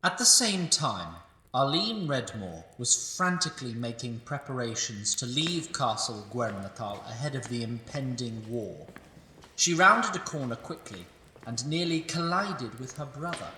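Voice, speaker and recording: Bright, expressive male narrator. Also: medium pitch, unhurried, reverberant, recorded from a distance, a little hiss or hum. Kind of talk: scripted fantasy narration.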